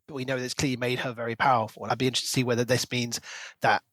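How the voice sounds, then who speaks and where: A man's voice: mid-range voice, little pitch movement, very fast, fairly bright tone, no echo. A man co-hosting a podcast, talking through a book in an articulate, reflective, conversational way. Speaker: podcast co-host. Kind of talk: book discussion, casual analytical chat.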